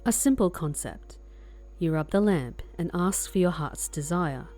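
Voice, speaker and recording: A woman's voice: lowish voice, lively intonation, a little slow, a little mellow, faint music behind. A woman acting as an ominous storyteller, delivering a scripted horror introduction. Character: ominous storyteller warning of wishes' consequences. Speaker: narrator of horror series intro.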